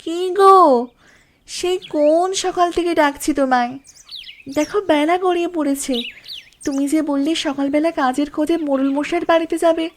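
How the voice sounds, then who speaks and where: An actress playing a patient, wronged young village wife in a cartoon, earnest and plaintive. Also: female voice, high voice, fairly even intonation, normal speed, slight background noise, close to an everyday voice. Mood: hurt, reproachful. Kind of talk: Bengali cartoon dialogue.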